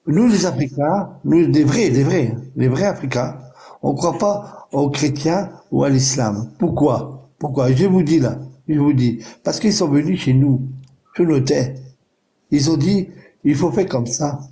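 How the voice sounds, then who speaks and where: A man's voice: fairly high pitch, lively intonation, warm tone. Insistent man repeating himself. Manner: repeats phrases, slow and insistent. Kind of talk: casual group voice chat, repetitive rhetorical questions.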